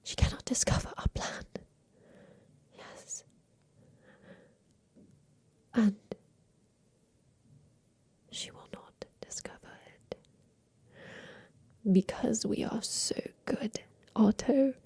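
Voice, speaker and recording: A woman voicing a secretive, tender captive girl in a haunted attic, in a hushed, deliberate ASMR roleplay whisper that repeats phrases. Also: on the high side, gravelly, husky, heavily acted. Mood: urgent, hushed, then tender, fearful.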